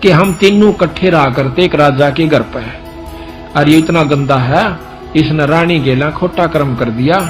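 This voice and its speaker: Haryanvi-accented male preacher, slightly high voice. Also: measured pace, over music. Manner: colloquial storytelling, acting out dialogue in reported speech. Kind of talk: religious discourse told as a folk story.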